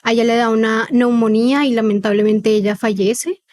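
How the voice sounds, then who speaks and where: High-voiced young Colombian woman. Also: steady tone, a little fast, a little mellow. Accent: neutral Colombian with a slight paisa lilt. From Cartagena, Colombia. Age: 20s. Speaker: podcast guest. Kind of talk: personal testimony in conversational interview.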